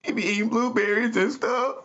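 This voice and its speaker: A desperate mother pleads in a high, wavering voice that keeps tipping into tears, as a man puts her on. She sounds frazzled and worn out. The recording is muffled and lo-fi. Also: steady tone, fairly quick, fairly bright tone. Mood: tearful pleading. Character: tearful worried mother at wit's end.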